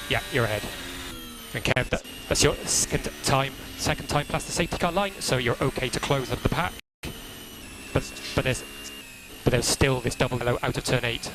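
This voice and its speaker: A man working as a race engineer, giving calm, procedural briefings over team radio and repeating key instructions in a measured way. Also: medium pitch. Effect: team radio transmission. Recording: crackly noisy motor racing team radio.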